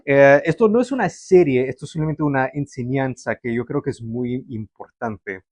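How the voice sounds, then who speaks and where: A man's voice: medium pitch, moderate pace, a little mellow, no room sound. A young man born in Canada, preaching a sermon to a livestream audience in a conversational way, with fillers and restarts. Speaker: pastor.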